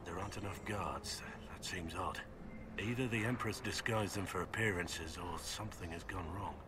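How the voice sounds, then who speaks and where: A man playing a gruff, guarded veteran warrior in a video game, curt, dry and plain-spoken. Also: deep voice, normal speed, noisy background, lightly acted. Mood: wary. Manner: curt, dry, matter-of-fact. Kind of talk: video game dialogue acting.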